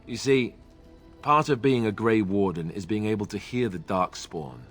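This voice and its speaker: An actor playing a wry, self-deprecating young warrior in a video game, talking in an easygoing, joking way. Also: male voice, on the low side, moderate pace, soft background music, lightly acted. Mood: reassuring. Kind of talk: video game cutscene dialogue.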